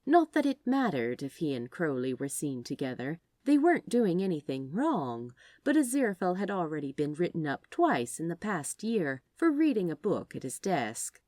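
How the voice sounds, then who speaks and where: A woman reading a story aloud as a narrator, in an expressive, scripted storytelling style. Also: fairly low pitch, normal speed, hardly any pauses, dry recording, studio quality. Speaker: audiobook narrator of fan fiction.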